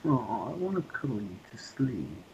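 A man's voice: medium pitch, big pitch swings, moderate pace, gravelly, a little mellow. Muffled, noisy, cooing boyfriend played by a man. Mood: affectionate. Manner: murmured, cooing sympathy. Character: soothing, doting boyfriend comforting his partner. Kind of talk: amateur ASMR couple roleplay. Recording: muffled, noisy lo-fi playback, distant.

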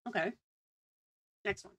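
A woman's voice: unhurried, a bit bright. A woman co-hosting a livestream in casual, conversational chat. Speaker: livestream co-host.

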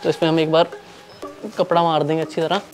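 Brief: male reviewer, quick and monotone delivery, faint music underneath. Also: fairly high pitch. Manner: quick, plain explaining to viewers. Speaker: product reviewer. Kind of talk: casual unboxing and demo narration.

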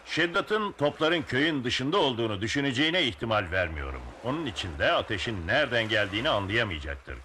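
A man's voice: mid-range voice, very animated, brisk, few pauses, bright, forceful tone, a little hiss or hum. A man voicing a bold, resolute sea captain in a dubbed cartoon, with a commanding manner. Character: bold heroic bearded young sea captain.

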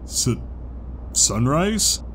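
A man playing a bashful, gentle zebra stallion in an audio drama, speaking hesitantly and haltingly. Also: fairly high pitch, big pitch swings, a little hiss or hum, exaggerated voice, low-quality recording.

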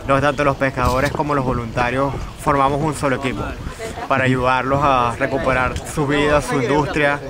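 A man's voice: medium pitch, level tone, fast talker. A man in his twenties with a Venezuelan accent, giving a volunteer's interview testimonial. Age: 20s. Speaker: student volunteer. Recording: outdoors amid loud crowd.

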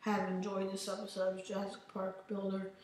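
A man's voice: sits high, monotone, a little fast, a little mellow. A young man hosting a gaming channel, chatting casually over gameplay in a rambling way and saying he is losing his voice. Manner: rambling, chatty, talks to viewers. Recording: very echoey room.

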